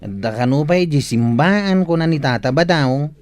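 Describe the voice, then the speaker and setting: A man's voice: on the high side, animated, moderate pace, a little mellow, no echo, faint music behind, lightly acted. A man playing an easygoing village neighbour in a comedy radio drama. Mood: mildly reproving.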